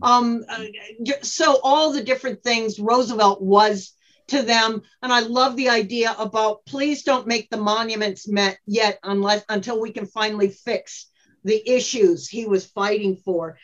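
A slightly muffled recording of a woman with a fairly high voice. She talks as a podcast panelist, casual and chatty, wandering through her thoughts. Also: measured pace. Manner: rambling, chatty. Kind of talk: casual discussion.

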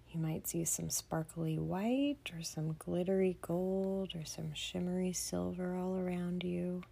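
A woman leading a children's bedtime meditation in a soothing, gentle manner, often dropping to a breathy near-whisper. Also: on the low side. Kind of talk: guided bedtime meditation for kids.

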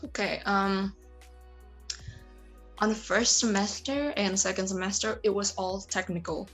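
A woman's voice: medium pitch, steady tone, a little slow, fairly bright tone, husky. Young woman, Indonesian native, hesitant, lots of fillers, faint music underneath. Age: young adult. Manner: hesitant, many fillers and restarts. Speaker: interview guest, computer science student. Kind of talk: casual conversational interview.

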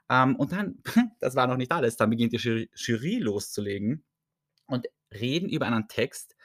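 A man with an Austrian accent hosts his own podcast in a relaxed, rambling way. He pokes fun at himself and laughs often. His voice sits a little low and the recording is close and dry. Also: dry recording. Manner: chatty and self-mocking, laughs often. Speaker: podcast host. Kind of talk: casual solo podcast chat.

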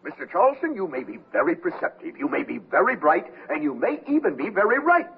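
Flustered, excitable company chairman, swooping pitch. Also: quick pace, phone-quality sound. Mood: indignant. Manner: hesitant, stammering, blustery. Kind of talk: 1970s radio comedy acting. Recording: thin, noisy old radio broadcast.

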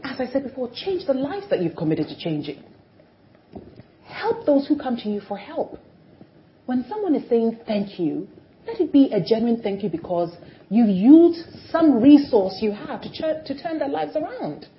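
A middle-aged woman, a television personality, speaking as an interview guest in an animated, emphatic way that swings between loud and soft. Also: on the high side, moderate pace, slight background noise. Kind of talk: conversational interview. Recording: dull lo-fi recording.

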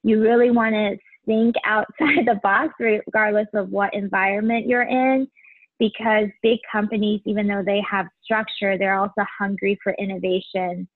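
A woman in her forties from Taiwan, a podcast guest, talking in a soft, casual way with frequent ums and light laughs. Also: mid-range voice, fairly even intonation, moderate pace, dry recording. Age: 40s. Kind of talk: casual interview chat. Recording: tinny phone-like line, dry.

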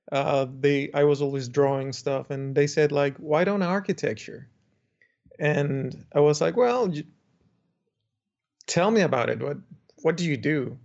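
Young Dominican architect guest, higher-pitched, rambling storyteller; dull lo-fi audio. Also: male voice, vocal fry, darkish tone. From Dominican Republic. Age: young adult. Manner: chatty storytelling with frequent restarts and laughs. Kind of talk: interview conversation. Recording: muffled lo-fi remote audio.

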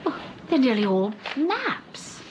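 An actress playing an observant, anxious and affectionate detective's wife in a period radio crime drama, speaking in a genteel way. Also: female voice, high voice, sing-song, takes it slowly, slight background noise, exaggerated voice. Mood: curious, uneasy.

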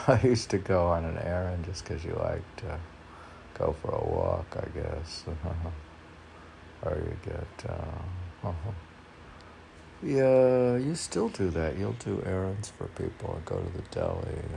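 Male, very low voice with heavy creak, rambling poet-podcaster mixing reading and asides, faint background noise. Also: wildly swooping pitch, slow pace, warm tone, rough recording. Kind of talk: reading poems with rambling off-the-cuff commentary.

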